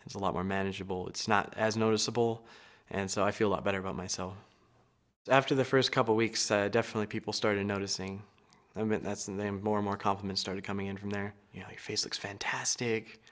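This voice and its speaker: A man in his twenties from Arizona giving a relaxed, casual testimonial, with lots of "you know". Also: fairly low pitch, brisk. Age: 20s. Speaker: client testimonial.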